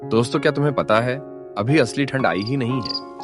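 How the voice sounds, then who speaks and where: A man's voice: medium pitch, brisk, loud music behind the voice, close to an everyday voice. A man playing a knowing young friend who talks emphatically in a cartoon story. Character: knowing young male friend sharing a warning.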